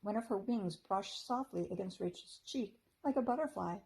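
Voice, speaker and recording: A woman teacher reading a children's chapter book aloud in a gentle storytelling manner. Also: mid-range voice, normal speed, vocal fry, breathy edge, low-quality recording.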